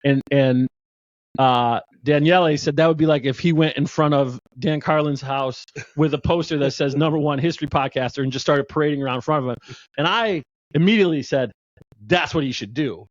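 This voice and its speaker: Casting: upbeat male podcast host, a bit high, dry and muffled sound. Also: brisk, dry recording. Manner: enthusiastic, joking, many restarts. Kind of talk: casual interview chat.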